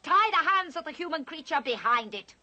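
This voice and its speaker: An actress playing a cruel, haughty witch queen who commands with contempt in an animated fantasy. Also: sits high, a little fast, highs cut off, a little hiss or hum, full character voice. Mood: cold, commanding. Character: imperious, cruel witch queen, quick to rage. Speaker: villain. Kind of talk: theatrical animated fantasy acting.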